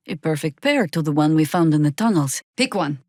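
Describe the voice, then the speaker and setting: A woman playing a stern, wry older female druid warrior in a video game, speaking in a dry, measured, sardonic way. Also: low voice, expressive, darkish tone, dry recording. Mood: dry, commanding. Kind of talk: scripted video game character lines.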